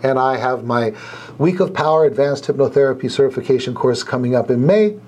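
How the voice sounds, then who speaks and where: A middle-aged man with a cold, sniffly and clearing his throat, teaching in an earnest, folksy and repetitive way with sighs. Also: mid-range voice, darkish tone. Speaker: hypnotherapy instructor and podcast host. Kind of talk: casual teaching talk to camera.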